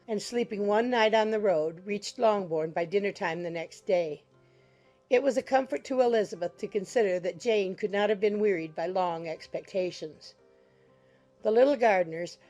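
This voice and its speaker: A woman reading scripted literary narration for an audiobook in a measured, formal way. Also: medium pitch, a little mellow.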